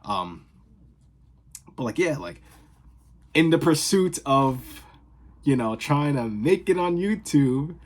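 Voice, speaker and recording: A young man from the Boston area, vlogging in casual, sarcastic rambles that swing from loud outbursts to whispers, recorded on his phone in his bedroom. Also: fairly high pitch, big pitch swings, takes it slowly, long pauses. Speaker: fragrance vlogger. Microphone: phone camera.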